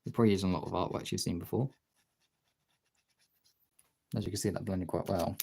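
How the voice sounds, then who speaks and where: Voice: low voice, steady tone, a little fast, vocal fry, mellow, husky. Someone giving a casual hands-on art tutorial, rambling and thinking aloud while working.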